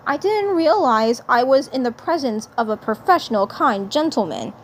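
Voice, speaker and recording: A woman's voice: a little high, big pitch swings, normal speed, a little hiss or hum. A woman voicing a curious, wry male alien commander who teases the other figure. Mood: teasing. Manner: teasing, wry.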